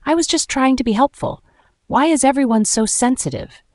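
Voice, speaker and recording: A woman's voice: high-pitched, moderate pace, dry recording, clean studio sound, voice pushed up, lightly acted. A woman voicing a dramatic, self-absorbed middle-aged mother-in-law with a falsely sweet, boastful manner in a narrated story. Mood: falsely sweet, barbed. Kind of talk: acted quotes in a narrated story.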